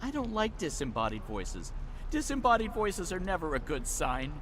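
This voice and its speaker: A small, cowardly bird sidekick from a video game, with a high, extremely animated voice. He grumbles and wisecracks melodramatically over prominent music. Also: moderate pace. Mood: fearful. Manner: melodramatic sarcastic complaining.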